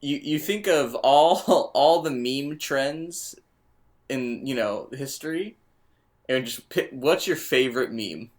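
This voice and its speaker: A man's voice: medium pitch, lively intonation, slow pace. A young man co-hosting a podcast, cracking jokes, swearing casually and laughing often. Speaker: podcast co-host. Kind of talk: casual banter.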